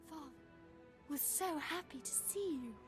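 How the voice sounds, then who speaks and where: A woman's voice: very high voice, expressive, a little slow, over music. A young woman playing a spirited, thrill-seeking noble daughter in a video game, with an eager, theatrical manner. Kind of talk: video game cutscene acting.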